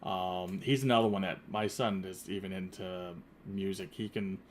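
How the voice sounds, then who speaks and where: Rambling male podcast host, low voice. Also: fairly even intonation, normal speed, a crowd in the background. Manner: rambling, many fillers and restarts. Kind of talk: casual interview chat. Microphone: earpiece headset.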